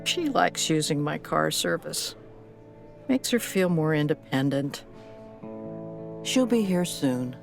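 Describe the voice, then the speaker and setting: An actress playing a protective, troubled, tough-minded granddaughter in an audio drama, earnest in manner. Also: female voice, deep voice, animated, takes it slowly, gravelly, long pauses, music in the background, full character voice. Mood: subdued.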